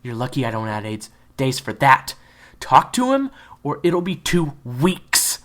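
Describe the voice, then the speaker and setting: A man's voice: mid-range voice, expressive, a little slow, voice pushed up. A man voicing a spunky, bossy teenage schoolgirl who scolds and insists. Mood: insistent. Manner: insistent, scolding, sharp-tongued.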